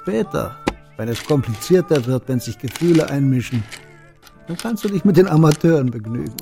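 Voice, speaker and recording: An older man playing a kindly, wry old shopkeeper in a radio play, fatherly, gently teasing and deliberate. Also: a little high, lively intonation, creaky, faint music behind. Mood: wry, gentle.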